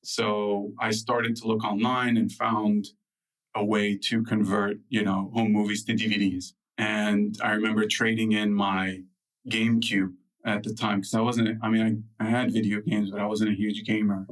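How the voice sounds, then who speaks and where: Low, flat-voiced man telling stories. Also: normal speed, far from the mic, rough recording. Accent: American. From United States. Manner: loose, filler-heavy, with frequent restarts. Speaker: guest. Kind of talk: relaxed interview storytelling.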